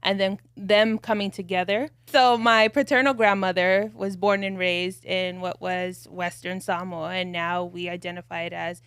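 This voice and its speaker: Dry, measured woman co-host. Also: on the low side, level tone, fairly bright tone, clean tone, dry recording. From United States. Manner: reflective, measured storytelling with fillers. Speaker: podcast co-host. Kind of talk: casual podcast chat.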